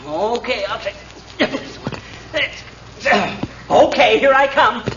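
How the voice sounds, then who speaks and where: A blustering, exasperated husband with a very high, swooping voice, grumbling and wisecracking. It sounds like old radio comedy, distant and dull, over noise and crowd sound. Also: male voice, animated, takes it slowly, heavily acted. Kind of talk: 1940s radio comedy acting. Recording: noisy, dull 1940s radio recording, distant.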